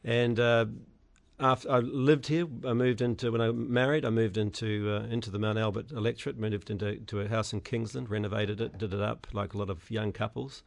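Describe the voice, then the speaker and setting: Gravelly halting New Zealand man. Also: fairly low pitch, fairly even intonation. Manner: halting, many fillers and restarts. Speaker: election candidate guest. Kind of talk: radio interview answers.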